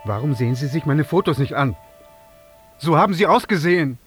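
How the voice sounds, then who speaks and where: A man's voice: on the high side, expressive, a little fast, soft background music, natural voice. An actor playing a bereaved, bitter father in a radio drama, accusing and insistent. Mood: wounded reproach. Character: bereaved foreign father, bitter, demanding an apology.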